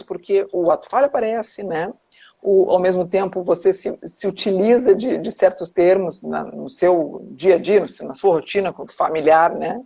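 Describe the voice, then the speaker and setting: Woman, husky and a bit gravelly, hesitant and full of restarts, on dull lo-fi call audio. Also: medium pitch, darkish tone. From Brazil. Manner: hesitant, many restarts and tag questions. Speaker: psychoanalyst guest. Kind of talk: casual panel conversation on a live video call. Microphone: video call.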